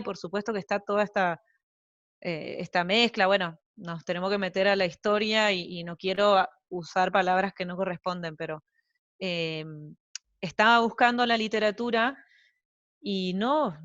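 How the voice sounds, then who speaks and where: A woman's voice: medium pitch, normal speed, fairly bright tone, dry recording. Chatty Argentine woman, muffled dry sound. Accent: Argentine Spanish. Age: young adult to middle-aged, mother of young children. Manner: chatty, rambling with many fillers and asides. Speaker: translator guest. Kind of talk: casual interview chat.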